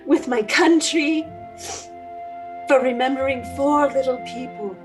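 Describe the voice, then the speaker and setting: An actress playing an emotional, idealistic woman in a 1930s radio play, halting and tremulous. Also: high voice, over music. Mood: moved, fervent. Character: emotional, idealistic American woman addressing refugee children.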